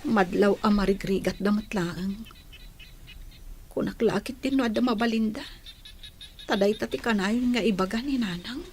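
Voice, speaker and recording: An actress playing an anxious, homesick young wife in a radio drama, speaking in a plaintive, pleading way. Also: female voice, mid-range voice, moderate pace, long pauses, a little mellow, natural voice. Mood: wistful, apologetic. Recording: noisy radio drama track with ambience.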